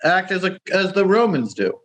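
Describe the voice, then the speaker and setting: A man speaks in a hammy put-on Italian accent that keeps slipping into his own voice. His tone is bright and forceful and sits a little high. He is improvising a comedy travel report over a video call. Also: very animated, normal speed. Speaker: comedy correspondent.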